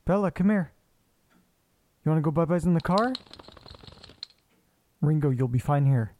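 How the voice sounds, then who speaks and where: An actor playing a restless, sweary man who mutters to himself and his pets in a naturalistic horror audio drama, casual and deadpan. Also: a little high, moderate pace, a little hiss or hum, lightly acted. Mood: casual, resolved.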